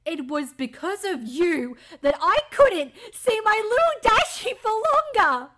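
A woman's voice: high-pitched, big pitch swings, slow, pushed and bright, full character voice. A woman voicing an emotional, wounded female pegasus ghost with dramatic, accusing delivery in an amateur audio drama. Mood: accusing, hurt.

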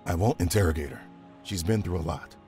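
An actor voices a world-weary policeman in an audio drama. His low voice is dry and sardonic, with prominent music playing under the dialogue. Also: fairly quick, darkish tone, natural voice. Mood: protective. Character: world-weary, dry-witted, loyal male police detective.

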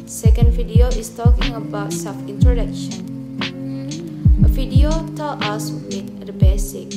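A young woman from Indonesia with an Indonesian accent gives a rehearsed, explanatory student presentation in English as a second language. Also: on the high side. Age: young adult. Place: small furnished living room at home. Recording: roomy echo, loud guitar music behind. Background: loud plucked guitar music.

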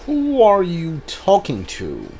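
Man, game streamer, animated intonation, casual commentary, talks back to game, slight background noise. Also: high-pitched, takes it slowly.

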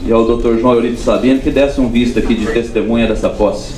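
A man's voice: medium pitch, fairly even intonation, quick pace, crowd noise. Echoey Brazilian man addressing a ceremony. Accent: Brazilian Portuguese. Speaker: official leading a ceremony. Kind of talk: informal public speech. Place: crowded room at a public ceremony. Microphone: handheld microphone over PA. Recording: echoey amplified room sound.

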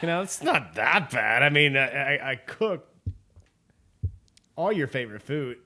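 A man's voice: medium pitch, lively intonation, measured pace, sharp tone. A man hosting a podcast, joking and laughing through a party card game with friends. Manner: laughing, jokey, loose banter.

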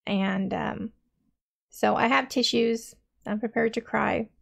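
A woman's voice: a little high, fairly even intonation, takes it slowly, vocal fry, fairly bright tone. A woman in her thirties hosting a reaction video, thinking aloud in a rambling, casual way with many fillers, in a small dim room.

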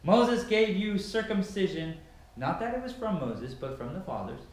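Solemn preacher guy talking slow and emphatic, as a reader does him, big echoey room. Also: sits high, expressive, darkish tone, voice pushed up. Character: solemn male preacher teaching a crowd. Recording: echoey bare room from a far camera.

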